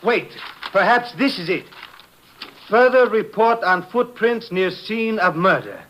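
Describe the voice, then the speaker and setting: Formal, higher-voiced police lieutenant. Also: male voice, very animated, a little slow, fairly bright tone, highs cut off, exaggerated voice. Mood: intent. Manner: formal, precise, avoids contractions. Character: formal, methodical male foreign police lieutenant. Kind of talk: 1950s radio drama acting. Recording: noisy, clicking old radio recording.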